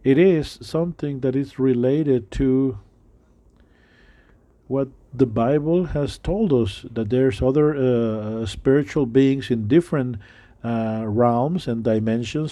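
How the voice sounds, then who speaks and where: Middle-aged male preacher, non-native English accent, halting delivery, warm mellow voice, mid pitch. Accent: non-native English speaker. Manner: halting, many fillers and restarts. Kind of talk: sermon.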